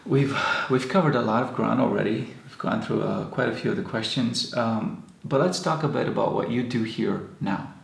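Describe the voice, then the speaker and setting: A man's voice: mid-range voice, moderate pace, gravelly, hardly any pauses, reverberant. A man hosting an interview in his studio, speaking plainly and apologetically to his guest. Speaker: interviewer and show host. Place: own recording studio.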